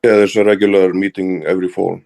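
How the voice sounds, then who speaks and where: A man's voice: deep voice, flat delivery, quick pace, gravelly, warm tone. A man from Iceland with an Icelandic accent, giving plain, hesitant answers as an interview guest. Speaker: interview guest, fraternity member.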